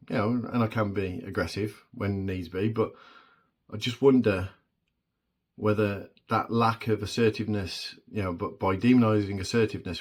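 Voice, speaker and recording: A man in his forties with a deep voice, talking alone in a halting, reflective way with many pauses and restarts. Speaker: vlogger. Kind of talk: solo reflective monologue.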